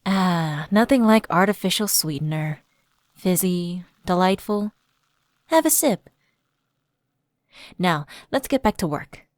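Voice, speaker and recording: A woman's voice: fairly low pitch, expressive, slow pace, long pauses, no room sound, studio quality. A woman playing a personal assistant who is secretly a fallen angel, evasive and coaxing, in a conversational ASMR roleplay. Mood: nervously breezy.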